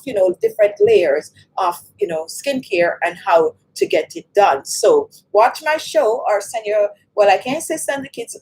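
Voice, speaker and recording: A woman's voice: on the high side, a little mellow, husky, low-quality recording. A woman from Jamaica, hosting a live beauty stream and chatting with viewers as she reads their comments. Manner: chatty, talks straight to the chat, reads viewer comments aloud. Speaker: beauty channel host.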